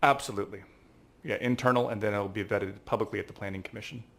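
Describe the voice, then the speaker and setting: A man's voice: lowish voice, fast, vocal fry, fairly bright tone. A man answering as a city staff official, explaining procedure at a public meeting.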